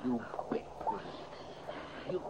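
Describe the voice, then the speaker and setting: A threatening gunman out of a 1940s radio crime show. He gives curt, slangy orders like a hard-boiled crook. The sound is dull, with clear old-recording noise. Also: drawn-out words. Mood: furious. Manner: curt, threatening, slangy tough-guy talk. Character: menacing, gruff bank robber with a gun.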